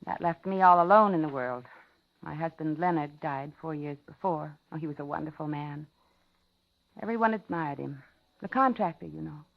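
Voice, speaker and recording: An actress playing a polite, lonely older widow in a 1950s radio thriller, talking and narrating in a genteel, dramatic way. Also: female voice, low-pitched, moderate pace, creaky. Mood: wistful, concerned. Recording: dull 1950s radio recording, music behind.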